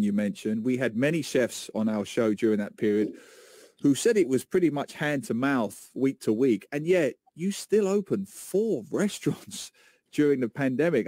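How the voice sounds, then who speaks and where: A middle-aged man interviews as a radio host. His voice is relaxed and dark, at an ordinary pitch, recorded close and dry in a studio as he puts questions in a conversational way. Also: no echo. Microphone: close studio microphone with headset.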